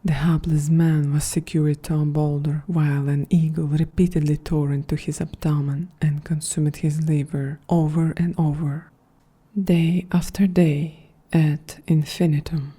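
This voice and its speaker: A woman voicing a calm, quietly grandiose female android in a breathy, half-whispered, soft-spoken ASMR roleplay. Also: sits low. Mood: calm, solemn. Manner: slow, measured, solemn delivery.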